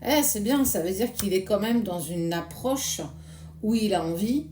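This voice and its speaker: A woman, hoarse this morning, giving a casual tarot reading and speaking reassuringly straight to the viewer. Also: mid-range voice, expressive, measured pace, mellow, reverberant, slight background noise. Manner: addresses viewer directly with soothing reassurance. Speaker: tarot card reader.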